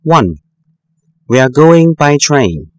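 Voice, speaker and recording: A man reads numbered sentences for a school listening test, pausing and pronouncing each word with care. His pitch is mid-range but swings widely. It is recorded close and dry, with no room sound. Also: sing-song, a little mellow, no room sound. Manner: slow, careful, deliberate enunciation. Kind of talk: scripted textbook listening exercise.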